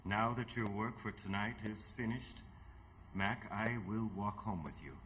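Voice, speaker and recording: An actor playing a solemn, ageless, otherworldly mentor in old-time radio theatre, speaking in grave, measured, formal phrases. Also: male voice, lowish voice, fairly even intonation, fairly bright tone. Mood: gently insistent.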